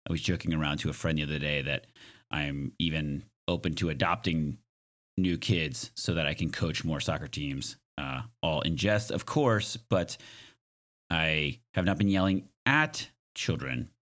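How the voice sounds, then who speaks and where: A man hosting a podcast solo in a casual, reflective way, with a slightly hoarse, raspy, smoky voice and a self-deprecating, joking manner. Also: rumbling low, measured pace, a bit bright. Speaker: podcast host.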